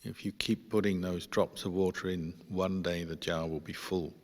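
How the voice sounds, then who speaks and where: Male meditation teacher, low and very even, measured phrasing, heard in a long hall reverb. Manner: measured, pausing between short phrases. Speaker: Buddhist monk leading guided meditation. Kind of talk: guided meditation instruction.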